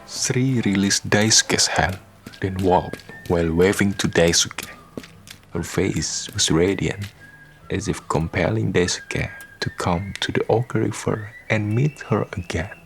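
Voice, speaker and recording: A man narrating a radio drama from a script in a measured storytelling manner. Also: sits low, gravelly. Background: faint music.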